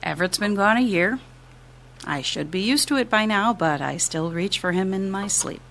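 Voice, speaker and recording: An actress playing a grieving widow in a fiction podcast, candid and tender, in a halting confessional manner. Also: female voice, medium pitch, lively intonation, fairly bright tone, slight background noise. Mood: tender grief. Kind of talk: naturalistic fiction podcast acting.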